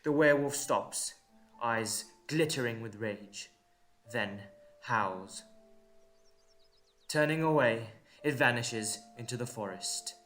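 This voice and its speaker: A man narrating a dramatic story in short, measured, suspenseful phrases. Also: mid-range voice, a bit bright, faint music behind.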